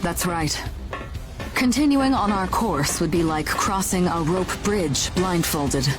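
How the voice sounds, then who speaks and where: An actress playing a composed, shrewd woman who leads a caravan crew in a video game, speaking in a measured, businesslike, authoritative way. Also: fairly low pitch, animated. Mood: grave. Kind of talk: video game cutscene acting. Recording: game music behind the voice.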